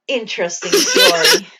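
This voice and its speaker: A woman's voice: sits high, very noisy. A woman reading a bedtime story aloud to her children, stumbling over hard words and laughing at herself.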